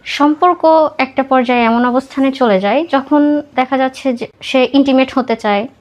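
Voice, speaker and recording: A woman's voice: high voice, normal speed, poor audio. A young woman with a Bangladeshi accent tells her personal story conversationally in an interview, mixing in English words. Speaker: podcast guest telling own life story.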